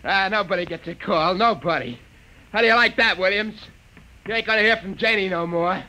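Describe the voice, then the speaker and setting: An actor playing a jealous, belligerent spaceman shouting slangy threats at his crewmates in an old radio drama. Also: high-pitched, fairly quick, rough recording. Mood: menacing, wild.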